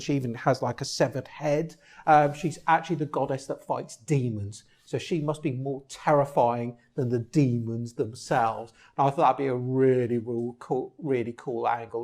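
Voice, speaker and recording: A man's voice: medium pitch, a little mellow. A man who writes children's books, talking enthusiastically and casually in an interview. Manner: enthusiastic, with fillers like "like". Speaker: children's author.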